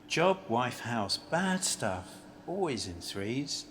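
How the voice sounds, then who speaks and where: A man's voice: medium pitch, sing-song, drawn-out words, a bit bright, full character voice. A man acting a bitter, grief-worn former banker down on his luck in an amateur radio play, blunt, cynical and world-weary. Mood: bitter, dismissive. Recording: home recording with faint background noise.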